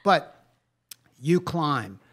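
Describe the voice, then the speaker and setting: A man's voice: fairly high pitch, animated, extremely slow, fairly bright tone. Enthusiastic man co-hosting a podcast. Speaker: podcast co-host. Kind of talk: casual podcast chat.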